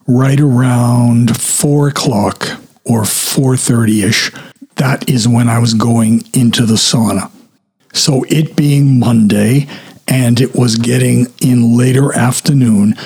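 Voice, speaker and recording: Male podcast host with a scratchy throat, husky and unhurried, rambling through a story. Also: medium pitch, a little mellow. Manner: slow, chatty and descriptive. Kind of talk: unscripted storytelling monologue.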